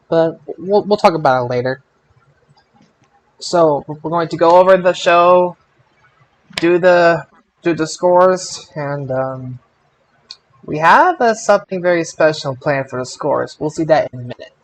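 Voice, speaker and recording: A man hosting a casual panel podcast about wrestling results, speaking in an emphatic, excitable way. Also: a little high, unhurried. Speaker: podcast host.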